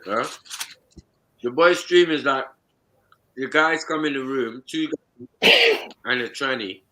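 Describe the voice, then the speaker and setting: A man's voice: medium pitch, wildly swooping pitch, slow, pushed and bright, rough recording. A man, chatting casually and joking on a livestream. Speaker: livestream host. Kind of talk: casual chat.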